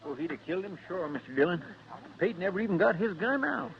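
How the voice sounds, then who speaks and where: An American actor playing an excitable, anxious frontier deputy in an old radio western. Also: male voice, high-pitched, fast talker, vocal fry. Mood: earnest. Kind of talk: 1950s radio western acting. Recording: dull, noisy old radio recording.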